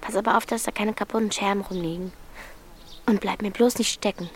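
A woman's voice: mid-range voice, steady tone, brisk, slight background noise, lightly acted. A woman playing a bold, streetwise thirteen-year-old girl with a blunt, commanding manner in a radio play. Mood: bossy, urgent. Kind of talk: German radio play acting.

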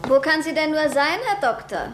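A woman's voice: high-pitched, expressive, normal speed, fairly bright tone, reverberant, slight background noise. An actress playing an anxious, prim woman in a radio drama. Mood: worried. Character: anxious, prim woman discussing a missing child.

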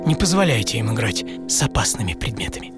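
A man playing a blind French inventor recalling his childhood in a wry, reflective radio monologue, measured and lyrical. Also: fairly low pitch, very animated, loud music behind the voice. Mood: wry, earnest. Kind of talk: dramatic first-person monologue for radio audio play.

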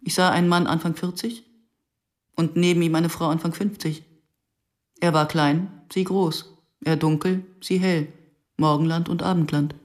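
A woman's voice: on the low side, flat delivery, normal speed, long pauses, darkish tone, lightly acted. An actress playing a guarded, reflective woman in her early fifties who narrates and converses soberly in a German radio drama. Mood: dry, reflective. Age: middle-aged.

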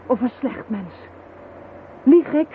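An actress playing a determined, persuasive police inspector in a Dutch radio drama, speaking insistently and deliberately. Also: female voice, sits high, expressive. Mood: pressing. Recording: muffled narrow-band phone-like audio, noisy.

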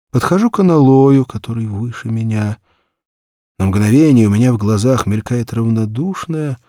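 A man narrating a literary children's story in the first person, with an expressive, measured, acted delivery and a husky voice. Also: medium pitch, no echo, studio quality. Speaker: audiobook narrator.

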